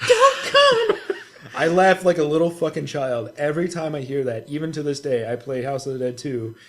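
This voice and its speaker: A man's voice: a little high, huge pitch swings, normal speed, a bit bright. A man co-hosting a podcast in casual banter, jokey and freely swearing. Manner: jokey, profane, often mimicking and breaking into laughter. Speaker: podcast co-host.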